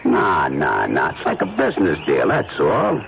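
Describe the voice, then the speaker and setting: An actor playing a falsely chummy small-time blackmailer photographer in an old-time radio drama, with folksy uneducated talk and oily friendliness. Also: male voice, low voice, huge pitch swings, measured pace, gravelly, heavily acted. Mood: wheedling, menacing. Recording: tinny band-limited radio recording, faint noise.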